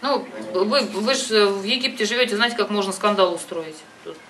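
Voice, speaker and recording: A woman's voice: mid-range voice, moderate pace. A woman giving advice in a phone conversation, insistent and emphatic, often repeating herself for stress. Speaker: advisor and host of the phone conversation. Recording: distant microphone with loud background noise.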